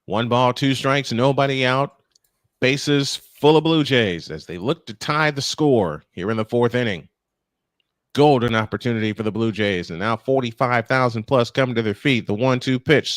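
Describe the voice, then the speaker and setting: A man's voice: mid-range voice, moderate pace, fairly bright tone, no echo, clean studio sound. Man calling a live baseball game. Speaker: play-by-play baseball commentator. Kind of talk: live sports commentary with casual banter.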